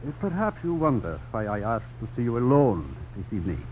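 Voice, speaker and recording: A man's voice: mid-range voice, very animated, brisk, natural voice. An actor playing a stern, courtly older governor and protective father in a formal radio drama style. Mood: grave. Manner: formal, grave, ceremonious. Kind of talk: 1950s radio drama acting. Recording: dull, noisy old radio broadcast recording.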